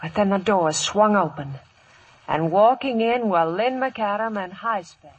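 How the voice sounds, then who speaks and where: A woman's voice: medium pitch, measured pace, slightly rough, lo-fi sound. An actress playing a weary, wry saloon woman who also narrates, in 1950s radio drama style. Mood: grave, narrating. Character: weary, wry Old West saloon woman telling her story. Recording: old 1950s radio broadcast, faint music.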